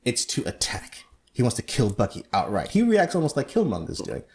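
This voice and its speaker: A man's voice: medium pitch, wildly swooping pitch, brisk, a little mellow. A man from Zambia hosting a casual podcast discussion, explaining at length and animatedly. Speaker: podcast host.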